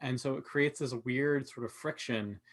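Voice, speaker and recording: A man with a mid-pitched voice in a casual panel talk. He speaks as a co-host, laying out his ideas in an analytical, explanatory way. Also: moderate pace, a bit bright. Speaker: podcast co-host. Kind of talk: casual panel discussion of television.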